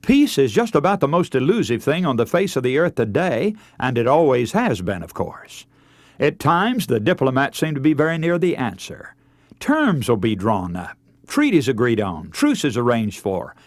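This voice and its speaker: A man's voice: mid-range voice, very animated, normal speed, no echo. A man preaching a scripted radio sermon in an earnest, emphatic, oratorical manner, putting rhetorical questions to his listeners. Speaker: radio preacher and broadcast host.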